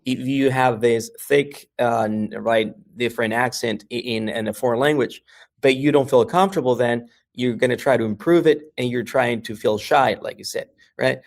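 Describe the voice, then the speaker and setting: A man's voice: medium pitch, moderate pace, creaky. A man with a Mexican American accent, a language educator talking casually as an interview guest, often checking in with "right?" between thoughts. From New York, USA. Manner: frequent "right?" and "uh" fillers, restarts.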